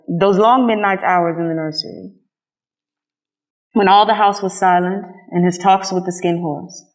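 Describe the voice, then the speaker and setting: A woman reads a children's storybook aloud. Her voice sits at a middle pitch, and she delivers the text as scripted narration. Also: rough recording. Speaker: storybook reader.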